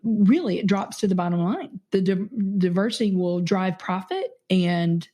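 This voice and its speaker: A woman's voice: mid-range voice, moderate pace. A middle-aged woman as an interview guest, talking earnestly with frequent fillers and easy laughter. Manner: warm, earnest, laughs easily, many fillers. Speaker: podcast guest, company CEO. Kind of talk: conversational interview.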